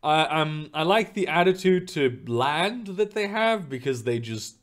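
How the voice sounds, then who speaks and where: A man's voice: on the high side, expressive, unhurried, fairly bright tone. A young man streaming live, chatting loosely with his viewers in a rambling way, full of fillers and casual swearing. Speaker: streamer reading chat.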